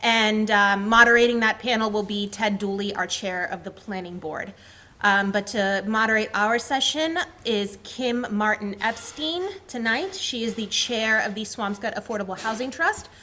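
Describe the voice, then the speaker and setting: A woman town planner welcoming a meeting audience and making announcements in a brisk, organized way with some fillers. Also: medium pitch, sharp tone.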